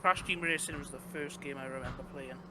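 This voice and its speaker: A man's voice: fairly high pitch, a little fast. A young man with a Welsh accent, a game developer chatting casually as an interview guest, rambling with lots of fillers and restarts. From Wales. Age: young adult. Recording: noisy background.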